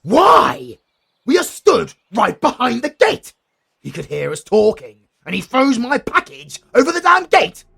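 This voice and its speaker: An actor with a British accent playing a pompous, melodramatic retired dark lord in a comedy audio roleplay, husky and easily outraged. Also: male voice, very high-pitched, wildly swooping pitch, measured pace, exaggerated voice. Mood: outraged, incredulous. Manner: grandiose, melodramatic, sardonic.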